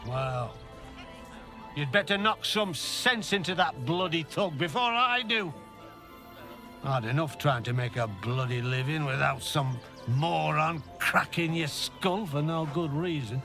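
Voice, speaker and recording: An irate townsman who barks and swears in a high, jumpy voice. He is gruff and indignant. Game music plays underneath. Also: expressive, a little slow. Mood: furious. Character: angry, foul-mouthed British townsman.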